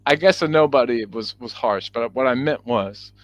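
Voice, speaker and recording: A man's voice: mid-range voice, normal speed, highs cut off, a little hiss or hum. A man on a fan podcast panel, joking casually and often at his own expense. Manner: joking, self-deprecating. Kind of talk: casual panel chat with banter.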